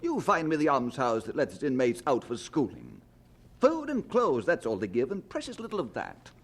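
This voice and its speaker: A man's voice: mid-range voice, wildly swooping pitch, moderate pace, slightly rough, exaggerated voice. A man playing a plain-spoken schoolmaster, exasperated but kind, in a radio drama, with an emphatic, questioning delivery. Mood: blunt, resigned.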